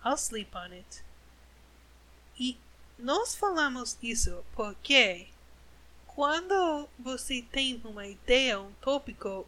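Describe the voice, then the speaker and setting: A woman, an English native speaker, hosting a podcast in Portuguese, speaking slowly and carefully as a learner and correcting herself. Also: on the high side, pushed and bright, poor audio. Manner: slow, careful learner's speech with self-corrections. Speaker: podcast host. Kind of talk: casual podcast monologue.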